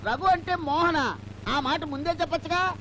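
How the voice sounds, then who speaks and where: An actor playing a chatty, slow-witted simpleton in a film comedy, naive and earnest. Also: male voice, very high-pitched, sharp tone, slight background noise. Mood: earnest, puzzled. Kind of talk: Telugu film comedy acting.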